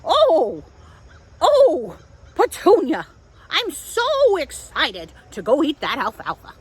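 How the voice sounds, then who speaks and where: A woman voicing an excitable, timid goat in a children's story, humming and dithering between phrases. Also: high-pitched, slow pace, no room sound, pitched above the performer's own voice, heavily acted. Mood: excited. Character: older sibling billy goats, excitable and easily scared. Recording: outdoor park background noise.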